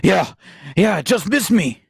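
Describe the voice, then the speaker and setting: A woman voicing an earnest young male cadet with a husky, creaky voice in a hammy radio play. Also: mid-range voice, big pitch swings, unhurried, no room sound, studio quality, heavily acted. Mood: calm, wry.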